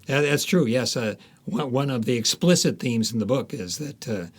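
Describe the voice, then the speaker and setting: A man being interviewed as an author, speaking conversationally and haltingly, repeating words as he thinks aloud. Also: medium pitch.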